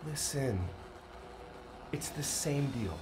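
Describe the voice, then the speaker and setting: A man's voice: medium pitch, expressive, slow, noisy background. An actor playing a resigned, earnest man on the run, pleading with his lover in an audio drama.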